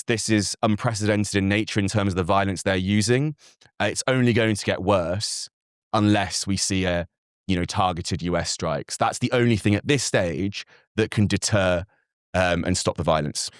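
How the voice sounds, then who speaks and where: A young man, a native Farsi speaker raised in Britain, answering as a guest analyst in an earnest, measured way with frequent fillers and restarts. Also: on the low side, no echo, studio quality. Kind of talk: interview answers.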